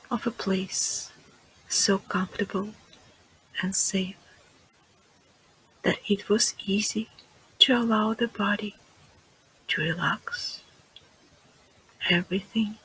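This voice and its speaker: A guide leading a relaxation meditation in a soft, breathy, hushed voice that often sinks near a whisper, with soothing phrases and pauses. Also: mid-range voice, low-quality recording.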